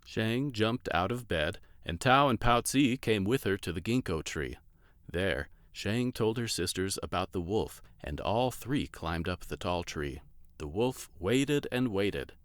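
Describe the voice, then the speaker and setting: A man's voice: low voice, lively intonation, measured pace, fairly bright tone, no echo, studio quality. A man reading a children's story aloud as a scripted narration.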